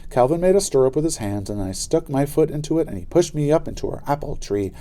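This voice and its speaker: A man narrating a children's story aloud in an expressive, dramatic way. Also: a little high, fast talker. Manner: animated, dramatic delivery.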